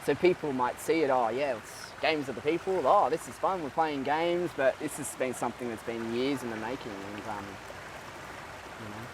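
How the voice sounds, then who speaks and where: Soft-spoken young man talking from afar. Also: medium pitch, recorded from a distance, background noise. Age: 20s. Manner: thoughtful, halting, many fillers and restarts. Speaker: guest. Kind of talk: casual interview.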